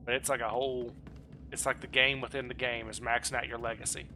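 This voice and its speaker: A man's voice: mid-range voice, flat delivery, fast, bright, forceful tone, slight background noise. A man chatting casually with friends while gaming online, joking and swearing freely in a salty way. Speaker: gamer playing online with guildmates.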